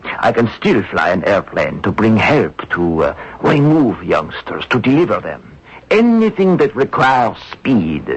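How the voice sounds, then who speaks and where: A husky, lowish male voice on a dull radio recording, an eager minister. He talks haltingly but with animation, in radio drama style. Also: huge pitch swings, heavily acted. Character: eager French minister, a former flyer. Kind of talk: 1980s radio drama acting. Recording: dull old radio broadcast.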